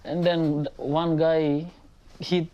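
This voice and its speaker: A patient from East Timor speaking halting, simple English with short nervous laughs during a doctor's visit. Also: medium pitch, flat delivery, dull audio. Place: GP consulting room.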